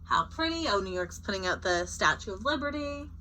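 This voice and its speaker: A woman's voice: medium pitch, a little fast, slight background noise, rough recording. A woman reading a picture book aloud as a storyteller, expressively and with informal asides. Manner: expressive, acting out short character quotes.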